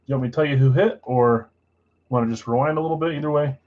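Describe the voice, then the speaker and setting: Low-key man hosting a card break stream. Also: fast talker, phone-quality sound. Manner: self-described boring voice, low-key and matter-of-fact. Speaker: host of a live trading card break stream. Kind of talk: casual livestream chat, reading out buyers and spots.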